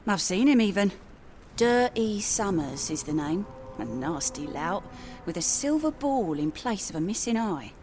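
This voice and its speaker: A woman's voice: mid-range voice, very animated, unhurried, darkish tone, faint music behind. An actress playing a guarded, plain-spoken woman who runs a Victorian port tavern in a video game. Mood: wary, matter-of-fact. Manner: wary, matter-of-fact. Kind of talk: video game cutscene acting.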